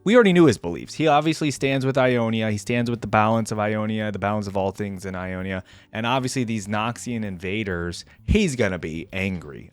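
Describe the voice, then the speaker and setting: A man's voice: mid-range voice, no room sound. A man hosting a casual game reaction video, talking in an informal, slangy, effusive way. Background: music.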